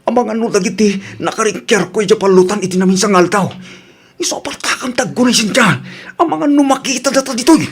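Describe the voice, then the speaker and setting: Loud, high-pitched drunken bully of a husband. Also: male voice, fast talker, husky. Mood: urgent, gruff. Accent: Ilocano. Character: drunk, belligerent, bullying, hot-tempered husband. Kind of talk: Ilocano radio drama acting.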